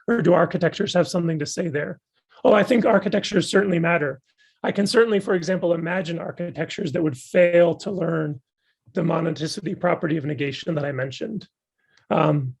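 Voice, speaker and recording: A man's voice: fairly high pitch, a little mellow. A man losing his voice, thoughtfully and enthusiastically answering audience questions after giving a talk. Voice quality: gravelly and husky. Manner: thoughtful, enthusiastic academic explaining. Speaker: guest speaker.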